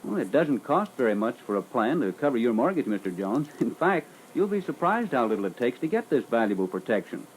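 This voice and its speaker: Man acting as lively, persistent insurance salesman. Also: mid-range voice, brisk, hardly any pauses, lightly acted. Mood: businesslike, polite. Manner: courteous, businesslike, persistent. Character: polite, tactfully persistent male life insurance salesman. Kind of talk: scripted sales-call role-play. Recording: noisy old reel-to-reel training record.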